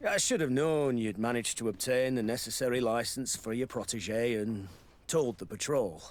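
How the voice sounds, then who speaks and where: An actor playing a dutiful, courteous commander of city guards in a video game, formal and deferential. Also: male voice, medium pitch, normal speed, slight background noise, close to an everyday voice. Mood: apologetic. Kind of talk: video game cutscene acting.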